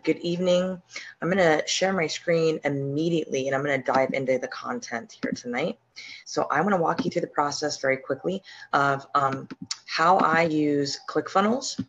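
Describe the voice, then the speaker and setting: Hoarse woman chatting through a screen-share. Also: low voice, normal speed, muffled recording, a little hiss or hum. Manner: chatty, with frequent ums and restarts. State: hoarse, slight sore throat. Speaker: podcast host and online business coach. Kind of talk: casual live-stream screen-share walkthrough.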